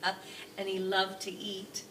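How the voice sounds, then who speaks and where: A woman reading a children's book aloud in an expressive, chatty storyteller style. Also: medium pitch, a little slow. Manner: prompting with questions. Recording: distant mic, clearly noisy room.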